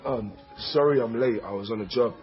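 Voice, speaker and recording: A man's voice: mid-range voice, a little fast, over music, lightly acted. An actor playing a mild, lovelorn delivery man in a radio comedy drama, hesitant and plaintive. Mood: awkward, guarded. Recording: dull sound with faint noise.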